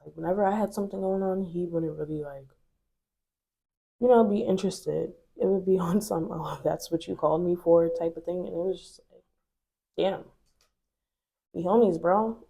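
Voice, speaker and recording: A woman's voice: on the low side, gravelly, dark tone, husky. A woman in her twenties, stuffy from a cold, telling a personal story to her viewers in a chatty, candid way full of fillers. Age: 20s. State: congested from a cold. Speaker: vlogger. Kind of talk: casual storytelling to camera.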